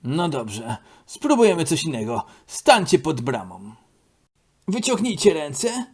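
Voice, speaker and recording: A man voicing a bossy, upbeat male sports coach who shouts out counts and barks orders in an amateur cartoon dub. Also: on the high side, wildly swooping pitch, slow pace, husky, exaggerated voice. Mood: coaxing.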